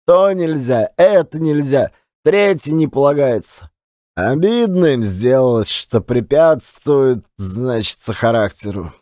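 A man's voice: mid-range voice, lively intonation, measured pace, dark tone, no room sound, studio quality, lightly acted. An actor playing a garrulous, self-deprecating, folksy old-time Russian storyteller in a one-man audio play. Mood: rueful, chatty. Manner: colloquial, rambling, folksy.

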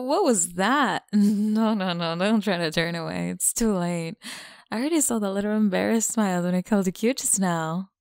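A woman voicing a mean, teasing tsundere college bully in an intimate ASMR roleplay, creaky and often dropping into breathy whispers. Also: fairly low pitch, no room sound, studio quality. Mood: mocking, amused.

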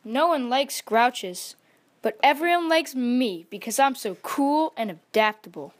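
A woman's voice: high-pitched, expressive, measured pace, voice pushed up. A woman voicing a vain, insecure, grouchy little male chameleon for a children's storybook, boastful and defensive in manner. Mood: annoyed.

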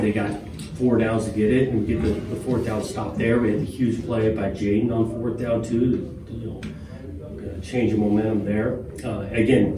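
A middle-aged man, a head football coach, answering postgame press questions in a measured, plainspoken way with frequent fillers. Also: medium pitch. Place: press conference room with a logo backdrop. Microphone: podium microphone, filmed from a far camera. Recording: roomy, distant, with room noise.

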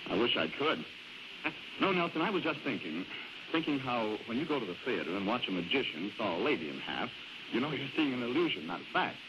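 An American man working as a radio announcer, giving a scripted, polished and emphatic sponsor pitch in 1940s style. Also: sing-song, a little fast, lo-fi sound. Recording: noisy, band-limited 1940s radio recording.

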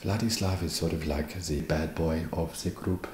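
A young man playing an eager vampire housemate with a put-on foreign vampire accent, soft-spoken, halting and deadpan in a comic ASMR roleplay. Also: sits low, reverberant, close to an everyday voice. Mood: awkwardly welcoming. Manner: halting, deadpan, awkwardly friendly. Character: awkward, eager male vampire housemate desperate to seem trendy.

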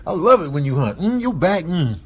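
A man voicing a smooth-talking, amorous male lion who coaxes a huntress in a comedy animal dub. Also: fairly high pitch, very animated, a little fast, slight background noise. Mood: flirtatious. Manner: drawling, coaxing.

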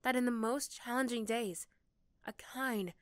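A woman's voice: a little high, a little slow, no room sound. A young woman narrating a second-person ASMR story as its narrator, close to the microphone. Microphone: close, dry microphone.

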